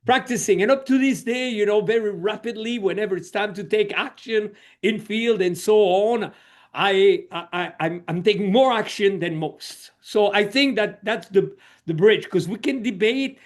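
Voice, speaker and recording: A man's voice: high voice, moderate pace. A middle-aged man from Switzerland, a social coach guest, telling his story in a reflective way with many "you know"s. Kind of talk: conversational podcast interview.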